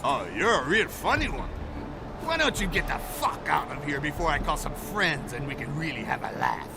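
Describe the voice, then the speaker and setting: An actor playing a smug, bullying corrupt cop in a video game, speaking with mocking, threatening swagger. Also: male voice, fairly high pitch, big pitch swings, normal speed, gravelly, over loud music. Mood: mocking, sly. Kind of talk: video game cutscene acting.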